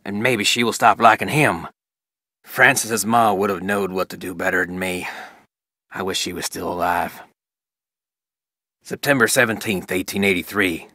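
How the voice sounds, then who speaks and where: A man's voice: low-pitched, sharp tone, no room sound, clean studio sound. An actor playing a stern, grieving 1880s rancher father, reading journal entries with a rural Western American accent in a plain, folksy way. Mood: regretful, sorrowful. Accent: rural American West. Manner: plain-spoken, rustic, folksy.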